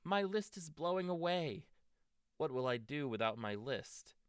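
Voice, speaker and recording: A man's voice: a little high, lively intonation, measured pace, clean tone, no echo, clean studio sound, voice pushed up. A man voicing an anxious, stubborn, melodramatic male toad in a storybook. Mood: distressed, stubborn. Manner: wailing, exclamatory when upset.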